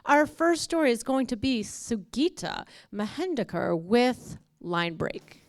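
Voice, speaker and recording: A woman's voice: a little high, big pitch swings, unhurried. A woman hosting a live story event, announcing the first storyteller in an upbeat manner. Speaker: event host. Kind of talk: introducing a performer.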